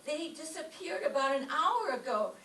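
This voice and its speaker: Polite teenage schoolgirl played by a woman for radio sitcom; high-pitched, girlish, roomy distant sound. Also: lively intonation, moderate pace, bright, forceful tone. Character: polite teenage girl, the principal's daughter. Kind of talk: old-time radio comedy acting. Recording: echoey stage, far from mic, faint noise.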